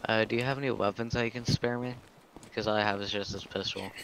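A man's voice: fairly low pitch, steady tone, fast, a bit bright, slight background noise, poor audio. A man speaking hesitantly and in an understated way while improvising in character in an online game. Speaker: role-play gamer.

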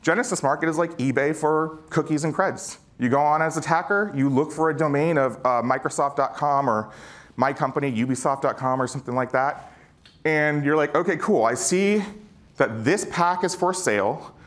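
A man's voice: on the high side, normal speed, fairly bright tone. A middle-aged man giving an informal conference talk on stage, slangy and conversational, often checking in with listeners. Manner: conversational, slangy, peppered with rhetorical check-ins. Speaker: keynote speaker, former security chief.

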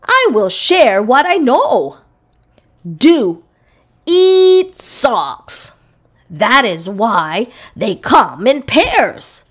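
A woman voicing an earnest, boastful pet dog in a children's picture book, with emphatic, drawn-out delivery. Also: on the high side, sing-song, fairly bright tone, heavily acted. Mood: earnest, playful.

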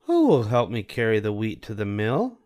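A man voicing an industrious, determined little mother hen in a storybook, speaking earnestly and deliberately. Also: on the low side, huge pitch swings, a little mellow, dry recording, clean studio sound.

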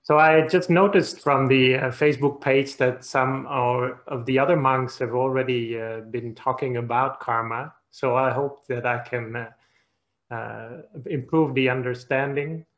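A man, a Buddhist monk giving an online Dharma talk over a video call, gentle and hesitant, with many fillers. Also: medium pitch, steady tone, unhurried. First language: Dutch. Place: quiet room at home. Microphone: webcam on video call.

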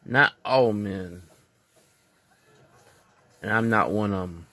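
Earnest low-voiced man vlogging. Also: slow pace, pushed and bright. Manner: earnest, preachy, repeats himself. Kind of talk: casual opinion vlog.

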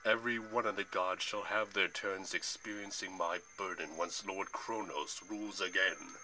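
Man playing a deep, booming, sneering Titan warlord. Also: steady tone, sharp tone, slight background noise, rough recording. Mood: gloating. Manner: slow, sneering, pompous. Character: booming, menacing, gloating Titan general, ancient and male.

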